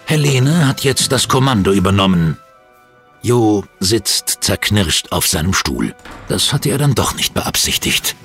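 A man's voice: on the low side, very animated, measured pace, fairly bright tone, faint music behind. A man reading scripted storytelling narration for an audio drama.

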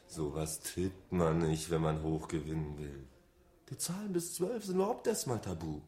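An actor in a radio drama playing an excitable but hesitant colleague, a reluctant accomplice with a colloquial, exclaiming way of talking. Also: male voice, very deep voice, normal speed. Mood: astonished, know-it-all. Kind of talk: German radio drama acting. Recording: roomy echo.

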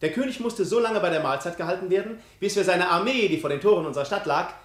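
A man's voice: sits high, natural voice. An actor playing a bold, witty foreign prince in 1950s radio drama style, courtly and teasing as he spins his tales with irony. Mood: confident, amused. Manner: courtly, teasing, ironic, unhurried storytelling. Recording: roomy 1950s radio studio recording.

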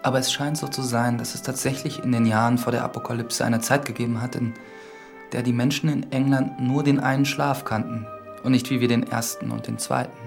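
An actor playing a reserved, educated priest in a radio drama, speaking in a measured, formal way. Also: male voice, mid-range voice, level tone, vocal fry, music in the background, close to an everyday voice. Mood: guarded, grave. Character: reserved, educated priest visiting a village from town.

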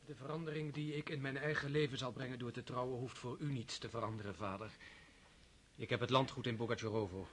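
A man's voice: mid-range voice, steady tone, quick pace, background noise, close to an everyday voice. An actor playing an earnest, lovestruck prince in his thirties in a radio play, fervent and sometimes hesitant. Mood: earnest, resolute.

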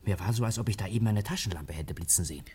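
A man playing a brisk, obedient police sergeant in a 1950s radio crime play. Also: on the low side, mellow, a little hiss or hum, natural voice. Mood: dutiful. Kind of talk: 1950s German radio drama acting.

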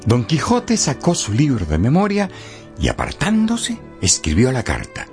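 A man narrating a radio drama as a wry, expressive storyteller. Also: mid-range voice, a little slow, vocal fry, full character voice. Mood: wry storytelling. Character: wry, leisurely male storyteller. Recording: studio radio drama with background music.

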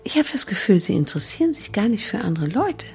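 A woman's voice: mid-range voice, big pitch swings, fast talker, gravelly, over music. A woman playing a candid widowed grandmother in a naturalistic radio play, speaking frankly and a little hesitantly. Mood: frank, probing. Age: 60s or older. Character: candid widowed grandmother at senior speed dating.

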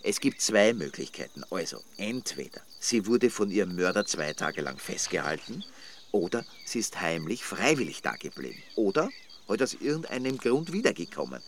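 Sardonic radio-drama snoop, lowish voice, dry wit, noisy outdoor ambience. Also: male voice, big pitch swings, normal speed. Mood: inquisitive. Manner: dry, sarcastic, colloquial. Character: sardonic, nosy male amateur sleuth. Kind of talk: naturalistic radio play dialogue. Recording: outdoor ambience with birds and insects.

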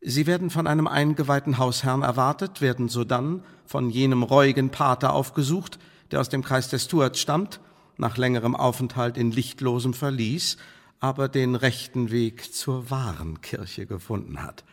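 Man playing a cautious spymaster, radio play, formal measured warnings, medium-pitched voice, long room reverb. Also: close to an everyday voice. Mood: stern briefing. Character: stern, cautious British spymaster briefing a new agent. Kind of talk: German radio play acting.

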